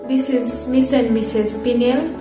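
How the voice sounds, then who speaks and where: A woman's voice: a little high, fairly even intonation, moderate pace. A woman postgraduate student from Tanzania giving a formal, earnest video message. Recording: echoey room with music.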